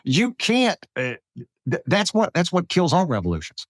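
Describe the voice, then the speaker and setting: A man's voice: high-pitched, wildly swooping pitch, normal speed, no room sound, clean studio sound. A man interviewing as a podcast host in a casual, rambling, questioning way.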